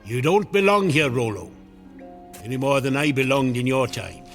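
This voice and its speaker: An actor playing an excitable, good-natured janitor with a folksy manner, in vintage comedy style. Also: male voice, medium pitch, normal speed, soft background music. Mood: easygoing. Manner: folksy, animated, stammering when flustered.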